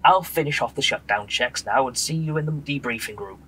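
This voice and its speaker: A man's voice: mid-range voice, fairly quick, natural voice, poor audio. A man playing a genial, commanding British RAF bomber pilot in a radio drama, with jovial clipped military banter. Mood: chatty. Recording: loud aircraft engine drone behind. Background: aircraft engine noise.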